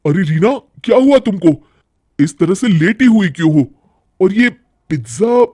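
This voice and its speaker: A man playing a caring but exasperated young husband in a cartoon story, expressive and reproachful. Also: high-pitched, unhurried, breathy edge, dry recording, clean studio sound, full character voice. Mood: alarmed. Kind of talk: animated Hindi moral-story cartoon acting.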